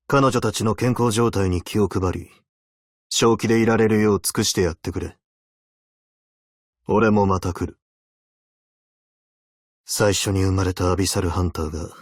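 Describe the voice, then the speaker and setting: A man's voice: sits low, no echo, clean studio sound. A man voicing a stern, weary veteran abyssal hunter in a video game, speaking in a terse, solemn, commanding way. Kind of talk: Japanese video game character voice lines.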